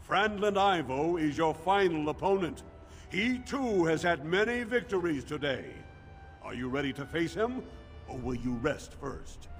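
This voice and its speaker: Ceremonial dwarf arena master, booming reverb. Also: male voice. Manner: ceremonial, declamatory. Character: formal male dwarf arena master announcing honour combats. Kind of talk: video game voice acting. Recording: game audio, long arena reverb, ambient noise.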